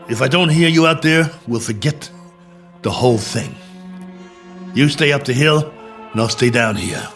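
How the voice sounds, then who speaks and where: An actor playing a worn-out, injured and lonely crash-landed space colonel in a radio drama, talking in halting, emphatic bursts. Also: male voice, mid-range voice, a bit bright. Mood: wry, threatening. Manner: halting, repetitive, emphatic pleading and musing.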